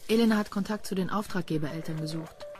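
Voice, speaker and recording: A woman's voice: on the low side, fast talker, darkish tone, natural voice. An actress playing a terse, sardonic female investigator in a crime radio drama. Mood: dry, businesslike. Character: brisk, sardonic female investigator named Katja.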